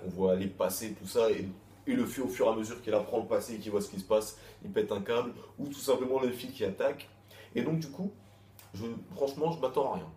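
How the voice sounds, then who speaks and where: A man's voice: on the low side, warm tone. Man rambling in an echoey room. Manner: loose, repetitive, with frequent restarts and crude slang. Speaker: manga chapter reviewer. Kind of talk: casual rambling review. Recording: echoey room, faint background noise.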